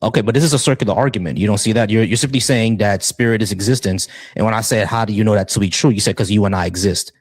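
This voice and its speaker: A man's voice: fairly low pitch, racing, few pauses, no room sound. A man hosting and moderating a live debate panel, insistent and challenging, often repeating himself.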